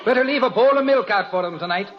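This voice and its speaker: An actor playing a dry, unflappable police officer with a wry, teasing manner in an old radio sitcom. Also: male voice, high-pitched, fast talker, close to an everyday voice. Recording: muffled vintage radio broadcast.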